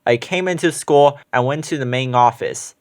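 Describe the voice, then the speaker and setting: A man's voice: medium pitch, moderate pace, lightly acted. A man voicing a forgetful, flustered male schoolteacher in a children's storybook, with a fretful manner. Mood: relieved, earnest.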